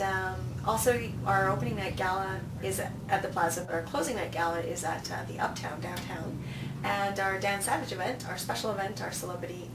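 A woman's voice: medium pitch, gravelly. A woman in her thirties speaking casually as an interview guest, telling anecdotes and acting out quoted remarks. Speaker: festival programming director, interview guest. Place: small office, indoors. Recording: roomy, distant mic, noticeable hum.

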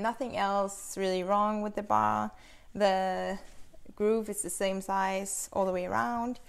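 Young woman with a gravelly edge. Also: mid-range voice. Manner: relaxed, practical, explains while handling tools. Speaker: chainsaw instructor. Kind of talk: casual how-to demonstration. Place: small bright workshop indoors.

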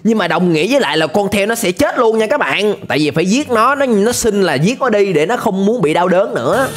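A young man with a southern Vietnamese accent giving excited, playful, exclamatory running commentary as a reaction video host. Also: high-pitched, a little fast. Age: young adult. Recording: music behind the voice.

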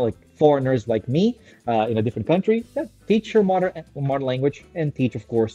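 A man's voice: mid-range voice, mellow, no room sound, highs cut off. A man in his thirties from Brazil, a native Portuguese speaker, giving a casual educational talk on infant care to a desk webcam in a small room, often checking in with "right?" and drawing out his list headings. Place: small indoor room with a screen on the wall.

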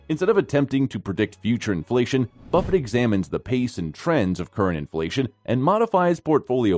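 A man narrates a scripted finance explainer in a fairly low voice. His pitch moves in a lively, animated way from phrase to phrase, and music plays faintly behind him. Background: background music.

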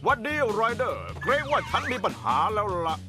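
A man's voice: high voice, sing-song, a little fast. A man voicing a worried sheep farmer asking for help in a children's cartoon dub. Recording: loud cartoon music behind voice.

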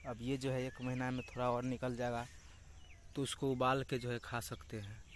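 A man's voice: medium pitch, little pitch movement, normal speed. A young man from Bihar with a Bihari accent, casually narrating a farm tour for a vlog in a repetitive, explanatory way, pointing things out. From Bihar, India. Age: young adult. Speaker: vlogger. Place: open farm fields outdoors. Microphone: handheld phone. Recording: outdoor phone recording with clear background noise. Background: outdoor rural ambience.

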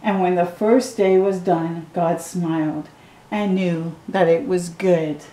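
Middle-aged woman storytime reader, slow and mellow, reading a picture book at home, roomy echo. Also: lowish voice. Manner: slow, gentle reading with excited asides to the viewer. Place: living room at home.

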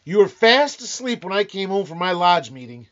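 Man as grumpy sleepy husband, deadpan, radio comedy, muffled lo-fi audio. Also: high voice, moderate pace. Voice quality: drowsy, deadpan wisecracks. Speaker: community theater actor. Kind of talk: old-time radio comedy reading. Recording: dull lo-fi recording.

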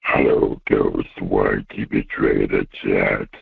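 A male caller with an extremely deep, distorted voice who drones slowly and repeats himself over a phone line. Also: level tone, creaky, breathy edge, no room sound. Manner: slow, droning, repeats itself. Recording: tinny phone line, dry.

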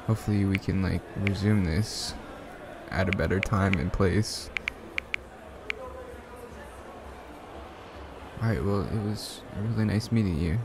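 A man acting a shy, smitten young man in an ASMR roleplay, speaking softly and hesitantly with bashful stammers. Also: low-pitched, moderate pace, background noise. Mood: apologetic, hopeful. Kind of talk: ASMR roleplay, soft one-sided conversational acting.

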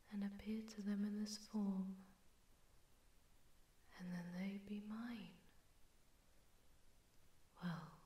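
A woman voicing a seductive, haughty female demon in a soft, breathy ASMR whisper, condescending and teasing, with an echoing distortion effect. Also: mid-range voice. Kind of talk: whispered ASMR audio roleplay.

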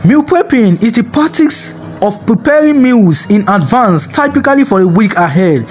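A man's voice: extremely high pitch, expressive, moderate pace. A man narrating a scripted health video as the channel host, reading evenly with a sometimes sing-song lilt. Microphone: voice-over. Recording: faint background music.

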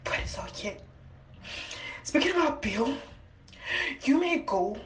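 Woman acting as bitter betrayed sister, echoey and distant. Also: on the high side. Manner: anguished, rhetorical, accusing. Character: betrayed, bitter twin sister who raised her nephew. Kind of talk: melodramatic audio-drama acting. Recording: echoey room, distant mic, noisy, clicks.

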